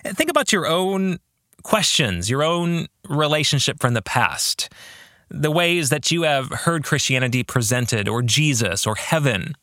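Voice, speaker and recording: A middle-aged man gives a reflective solo podcast talk in a bright, forward voice. His pitch moves in a very lively way as he earnestly leans on key phrases. The sound is close and dry. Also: measured pace, no room sound. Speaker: podcast host and author. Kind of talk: reflective monologue about faith and fiction.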